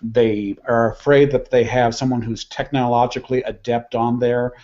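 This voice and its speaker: A man speaking as a guest journalist on a roundtable, in a measured, self-deprecating way. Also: medium pitch, level tone, darkish tone, dull audio. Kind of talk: casual roundtable chat.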